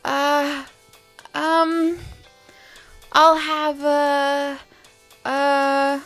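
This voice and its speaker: A woman's voice: sits high, completely flat delivery, very slow, fairly bright tone, faint music behind, exaggerated voice. A young woman playing a nervous, awkward alien student who stammers and hesitates, in an audio drama. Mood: flustered. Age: young adult.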